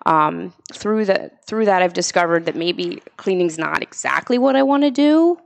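A woman's voice: on the low side, animated, moderate pace, creaky. Upbeat woman in her thirties. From Pennsylvania. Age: 30s. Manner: upbeat, eager, laughs easily. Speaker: guest. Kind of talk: casual chat.

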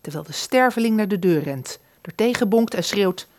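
A woman's voice: mid-range voice, lively intonation, normal speed, darkish tone, dry recording. Woman narrating, close and dry. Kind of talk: scripted radio play narration.

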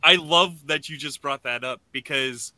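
A man's voice: on the high side, normal speed, sharp tone, no room sound, rough recording. A man co-hosting a podcast, speaking in casual chat. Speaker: podcast co-host.

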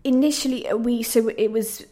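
A woman's voice: a little high, a little fast, mellow. A young woman giving reflective interview answers in a hesitant, self-deprecating, thoughtful way. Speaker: playwright being interviewed.

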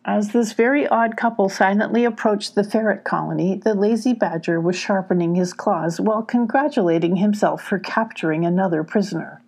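An older woman narrating a children's storybook as host and reader, in an unhurried, expressive way. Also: mid-range voice, vocal fry.

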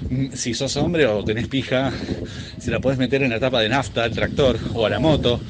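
A man's voice: medium pitch, a little fast. A man with a Buenos Aires accent giving a rambling comedic report as a correspondent while riding a bicycle outdoors. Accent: Buenos Aires Argentine. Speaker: roving correspondent. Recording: loud street and traffic noise. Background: loud construction and vehicle noise.

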